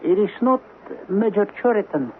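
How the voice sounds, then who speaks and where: A man's voice: high-pitched, animated, normal speed. A man playing a formal, servile Indian household servant in an old-time colonial radio drama. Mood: deferential. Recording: tinny band-limited old radio broadcast, hiss.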